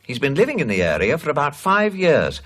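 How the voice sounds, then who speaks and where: An actor playing a careful, probing investigator in a radio drama, with polite, hesitant phrasing. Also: male voice, a little high, huge pitch swings, a little fast, a bit bright, exaggerated voice. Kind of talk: British radio drama acting.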